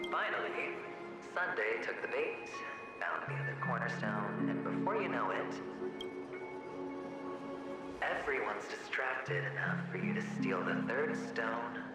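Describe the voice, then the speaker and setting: Drenched in echo over loud music, a male actor plays a sinister shadow twin of a young gambler. The game character taunts theatrically, with swooping, mocking inflection. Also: medium pitch, animated, unhurried, natural voice. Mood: sly, taunting. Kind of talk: dramatic video game voice acting.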